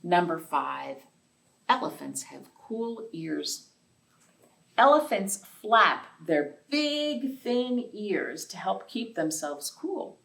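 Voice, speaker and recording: A woman's voice: medium pitch, very animated, takes it slowly, vocal fry, a bit bright. A woman hosting a children's storytime, reading aloud and chatting simply to young listeners, with questions and asides. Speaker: library storytime host. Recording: distant, off-mic voice.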